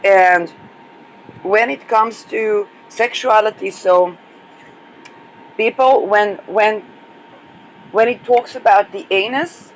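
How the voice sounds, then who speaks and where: A woman's voice: mid-range voice, slow pace, pauses often, slight background noise, poor audio. A woman hosting an astrology podcast, losing her voice, talking in a rambling solo monologue full of hesitations and restarts. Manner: hesitant, many fillers and restarts.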